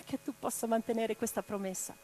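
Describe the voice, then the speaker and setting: A woman's voice: a little high, steady tone, fairly quick, mellow, a little hiss or hum, lightly acted. An actress playing an impassioned revolutionary woman in a radio play, declaiming with theatrical intensity. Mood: earnest, intimate. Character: impassioned revolutionary woman orator and letter-writer. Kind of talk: theatrical dramatic monologue. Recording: guitar music behind the voice. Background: plucked guitar music.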